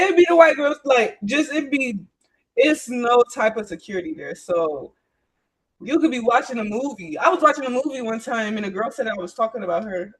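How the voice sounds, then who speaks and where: Young female interview guest, high voice, frank and emphatic, informal slangy storyteller. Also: a bit bright, low-quality recording. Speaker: interview guest, trade program graduate. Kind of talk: candid casual storytelling.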